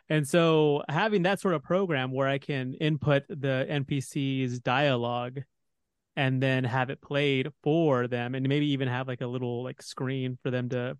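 Texan male podcast host, smooth dry voice, chatty and meandering. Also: mid-range voice, no echo. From Texas. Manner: rambling, filler-heavy, leads discussion. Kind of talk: casual podcast discussion.

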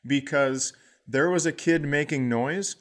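A man's voice: fairly high pitch, a little slow. Male comedian telling casual, profane anecdotes. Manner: profane, animated anecdotes with acted-out quotes. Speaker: podcast host, comedian. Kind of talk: casual comic storytelling.

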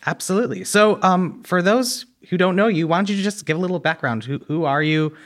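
A man's voice: high-pitched, a little fast. Friendly man hosting a podcast. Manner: friendly, relaxed, some fillers. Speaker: podcast host, editor. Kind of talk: podcast interview.

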